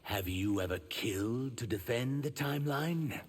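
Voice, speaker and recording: A man's voice: mid-range voice, expressive, normal speed, heavy background noise, natural voice. A man voicing an earnest young shapeshifting reptilian warrior in human form for a video game. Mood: challenging. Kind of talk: video game character dialogue.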